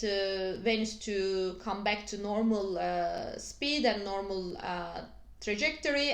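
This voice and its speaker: A woman with a Turkish accent, a native Turkish speaker, explaining astrology to her channel's viewers, pausing often on fillers and restarting her phrasing. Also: mid-range voice, a bit bright. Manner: hesitant, frequent fillers and restarts. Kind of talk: casual explanatory video. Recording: echoey room.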